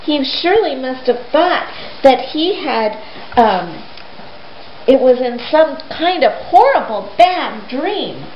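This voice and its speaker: High, animated woman storyteller in a room. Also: slow. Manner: animated, conversational, rhetorical questions. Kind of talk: informal retelling of a Bible story for children. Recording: roomy, dull lo-fi, faint hiss.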